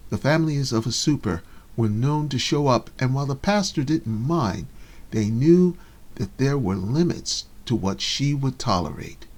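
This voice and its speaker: A man reads a piece of his own fiction aloud as scripted narration. His voice sits at an ordinary middle pitch for a man, and he delivers the prose as an author presenting a story. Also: animated, moderate pace, a little mellow.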